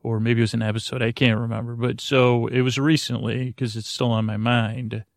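A middle-aged man delivers a meandering bedtime monologue as a podcast host, halting often and looping back on himself. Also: mid-range voice, little pitch movement, gravelly, dry recording, studio quality. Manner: hesitant, halting, trails off and loops back.

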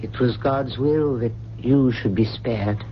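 An actor playing a faithful, deferential household servant in a radio mystery drama, formal, discreet and solicitous. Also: male voice, mid-range voice, slow pace. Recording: dull, hissy 1970s radio recording.

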